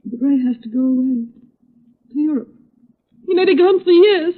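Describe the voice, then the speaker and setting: A grieving young daughter in an old radio drama. Her voice is high, halting and plaintive. The recording is thin and narrow. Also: expressive. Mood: sorrowful. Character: sorrowful, earnest young bereaved daughter. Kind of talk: 1930s radio melodrama acting.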